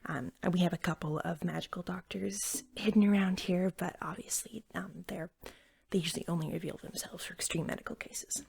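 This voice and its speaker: A woman doing soft-spoken ASMR roleplay as an eager, friendly shop cashier, chatty and slightly awkward, often dropping into whispers. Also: on the low side, fairly even intonation, brisk, creaky, breathy edge. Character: eager, friendly young female magical pet shop cashier.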